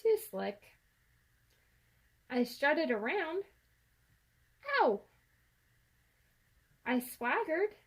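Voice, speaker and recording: A woman reading a children's picture book aloud in an expressive storytelling manner. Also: high voice, very slow, long pauses. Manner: expressive, acts out sound words and dialogue.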